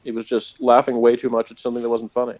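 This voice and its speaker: A man's voice: mid-range voice, monotone, fast, darkish tone. Slightly muffled man hosting casual review chat. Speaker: review show host. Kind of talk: casual review chat, reading out notes and commenting. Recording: slightly dull, lo-fi sound.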